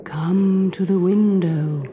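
Lady doing a slow luring wind spirit, drawn-out sing-song, commanding, music behind. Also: lowish voice, muffled recording.

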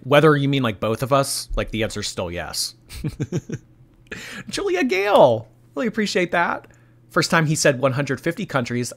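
A young man with a slightly stuffy, nasal voice, chatting casually on a live stream and reading donations. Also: mid-range voice. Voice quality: a little nasal. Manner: animated, laughing, reacting to chat.

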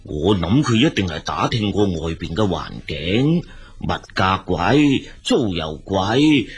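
An actor playing a weary, exasperated elderly father in a radio drama, speaking Hong Kong Cantonese with a husky voice and sighing, drawn-out complaints. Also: low voice, animated, slight background noise. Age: older.

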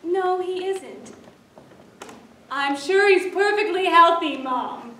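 A woman's voice: very high-pitched, slow pace, sharp tone, heavily acted. A young woman on a stage playing an anxious, loving sitcom housewife in a theatrical, emotive way. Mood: chatty, polite. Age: young adult. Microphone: far camera. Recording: echoey theater stage, distant camera microphone.

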